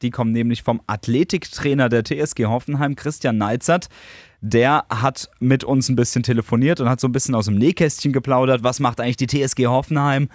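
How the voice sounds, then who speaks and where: A man hosting a casual podcast, asking relaxed questions with frequent fillers. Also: mid-range voice, a little fast, no room sound, clean studio sound. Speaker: podcast host. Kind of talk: casual podcast chat and interview.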